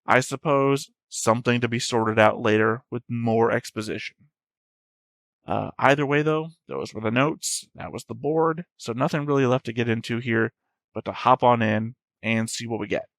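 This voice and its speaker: A man's voice: medium pitch, normal speed, vocal fry, fairly bright tone, dry recording. Man giving casual commentary, close and dry. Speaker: host of an anime reaction channel.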